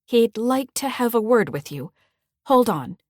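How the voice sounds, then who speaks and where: A woman voicing a polite, brisk female caller from a hospital. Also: fairly high pitch, lively intonation, a little mellow, dry recording, studio quality, pitched above the performer's own voice.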